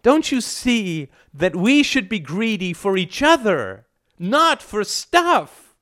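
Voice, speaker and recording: Man as a passionate teenage girl, earnest, emotional, high pitch, sweeping intonation, storybook. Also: very animated, takes it slowly, fairly bright tone, exaggerated voice. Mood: impassioned. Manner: impassioned, emotional.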